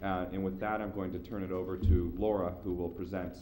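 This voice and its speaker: A man's voice: sits low, flat delivery, warm tone, slight background noise. A man on city staff introducing an item at a public meeting; he is losing his voice from a cold and stumbles over repeated words. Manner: halting, repeats words, upbeat. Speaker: city planning staff member.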